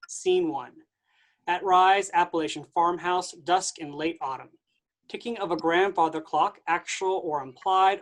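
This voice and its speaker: A man reading stage directions aloud in a staged play reading, steady and plain. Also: on the high side.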